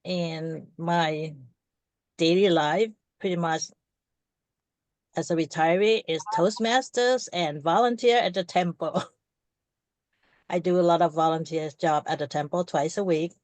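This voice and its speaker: An older retired woman from Thailand with a Thai accent, a native Thai speaker, introducing herself on a panel in a halting way with restarts. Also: on the low side, steady tone, a little mellow, no room sound. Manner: halting, restarts before settling.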